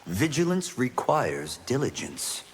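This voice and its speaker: An actor playing an earnest, dutiful young warrior champion speaking sincerely in a video game. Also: male voice, medium pitch, wildly swooping pitch, slow pace, vocal fry, exaggerated voice. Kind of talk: video game fight banter. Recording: reverberant game audio with background noise.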